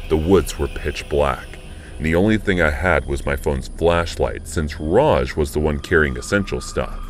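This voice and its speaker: A man narrating a first-person horror story in a studio voice-over, jumping up when indignant and going low and hushed in tense moments, over background music. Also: background noise. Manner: expressive, pitch jumps up on indignant lines, drops low and quiet in tense moments.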